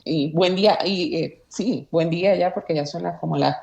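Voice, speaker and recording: Low gravelly puerto rican woman guest. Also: normal speed, darkish tone, dull audio. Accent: Puerto Rican Spanish. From Aguada, Puerto Rico. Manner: hesitant, many fillers and restarts. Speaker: guest journalist. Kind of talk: casual interview chat. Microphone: remote call.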